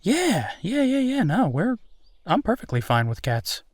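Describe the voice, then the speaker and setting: A man playing an easygoing, playful werewolf boyfriend in a roleplay audio, talking casually and haltingly with a self-deprecating streak. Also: high-pitched, wildly swooping pitch, dry recording, heavily acted. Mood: playful, relaxed. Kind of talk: intimate boyfriend roleplay audio, improvised-sounding one-sided conversation.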